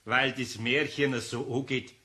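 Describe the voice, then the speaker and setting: A man's voice: mid-range voice, a little slow. A man playing a good-natured older master carpenter in a German children's radio play, with a folksy Bavarian accent and an easily amused manner. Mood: patient, amused. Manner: folksy, animated, chuckling speech with interjections.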